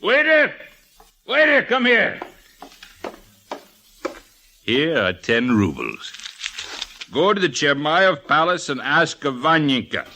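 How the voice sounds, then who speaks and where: A boastful male blackmailer, theatrical and imperious. His forceful voice swoops wildly and moves at a very slow, expansive pace, in old-time radio style. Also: a little high, wildly swooping pitch, a little hiss or hum, heavily acted. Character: boastful, swaggering male Russian blackmailer at an inn. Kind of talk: old-time radio comedy-drama acting.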